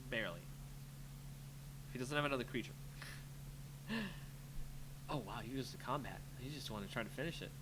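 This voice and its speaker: A man's voice: medium pitch, brisk, long pauses. A man giving live gameplay commentary, thinking aloud in a casual, reactive, muttering way as he streams a card game. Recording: clear background noise with hum.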